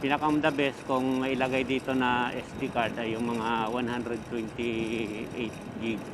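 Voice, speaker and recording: A man's voice: medium pitch, a little slow. A man with a Filipino accent chatting casually to viewers into a helmet microphone as he rides a motorcycle, rambling and thinking aloud. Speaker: motovlogger. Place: outdoors, riding a motorcycle on the highway. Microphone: microphone inside the helmet intercom. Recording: steady road and vehicle noise. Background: traffic and motor noise.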